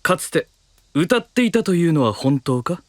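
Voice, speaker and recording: A man's voice: fairly high pitch, big pitch swings, moderate pace, dry recording, studio quality. A man playing a dignified, curious young nobleman, speaking in a formal, stately way in an anime audio drama.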